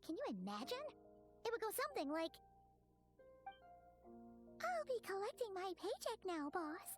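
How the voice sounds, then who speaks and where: A little floating fairy sidekick from a fantasy game. The voice is extremely high and squeaky, like a small child's. It bounces widely in pitch, excitable, talkative and cheeky. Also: female voice, normal speed, soft background music. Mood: musing, cheeky. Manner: exclamatory, refers to itself in third person. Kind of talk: scripted video game dialogue.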